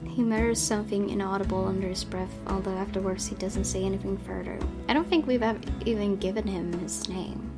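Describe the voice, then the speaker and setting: Creaky-voiced woman reading a game aloud. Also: mid-range voice, fairly quick, few pauses. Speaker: let's play host. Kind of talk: reading a visual novel aloud with casual commentary. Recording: game music behind the voice.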